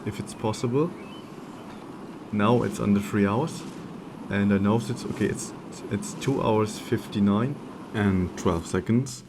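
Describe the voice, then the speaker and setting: Slow, low-voiced German-accented man. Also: background noise. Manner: halting, searching for words. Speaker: speedrunner giving commentary. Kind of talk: unscripted gameplay commentary. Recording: game music playing behind. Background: video game music.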